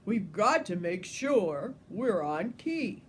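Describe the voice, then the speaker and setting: A woman's voice: medium pitch, very animated, slow pace, a little hiss or hum. A woman voicing a stern, grumpy male choir director in a storybook, declaring things emphatically. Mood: proud, urging.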